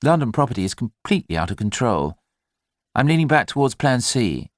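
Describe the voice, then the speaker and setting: An anxious, practical new father in an audiobook, acted by a male narrator. He is brisk and dryly ironic, with very lively intonation. The sound is close and dry. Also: mid-range voice, dry recording, pitched above the performer's own voice. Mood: fretful, brisk. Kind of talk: audiobook character dialogue.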